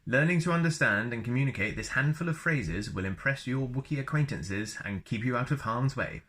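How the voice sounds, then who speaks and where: A man's voice: medium pitch, a little fast, fairly bright tone. A man reading a book aloud as a storyteller, with playful, chatty asides for young listeners. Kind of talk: read-aloud narration with casual asides.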